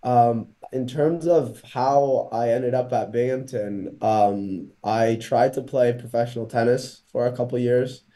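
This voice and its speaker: Young man from Portugal, mellow and hesitant. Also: medium pitch, a little slow, few pauses. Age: young adult. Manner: relaxed, hesitant, many "um"s. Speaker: college tennis player guest. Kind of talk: casual video-call interview. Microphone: laptop mic on video call.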